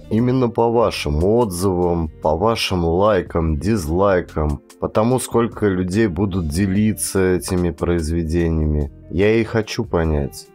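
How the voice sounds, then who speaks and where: A man reading a classic story as an actor-narrator, with expressive scripted delivery. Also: deep voice. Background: music.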